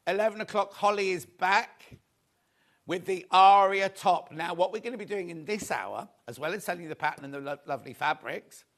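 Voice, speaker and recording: A man presenting a live shopping show, rambling through a chatty sales patter with a slightly croaky voice. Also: sits high, fairly quick, fairly bright tone.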